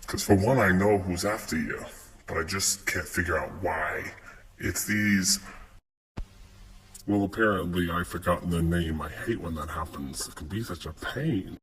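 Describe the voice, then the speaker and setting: Man playing a low-voiced noir detective. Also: measured pace, fairly bright tone. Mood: brooding. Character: hardboiled noir detective narrating his case in rhyme. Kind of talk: rhyming film-noir parody narration. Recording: noisy homemade phone clips.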